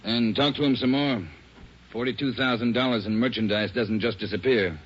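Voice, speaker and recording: An American man playing a demanding chief of detectives in a 1940s radio drama, husky, brusque and pressing. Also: medium pitch, steady tone, moderate pace, natural voice. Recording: muffled 1940s radio recording.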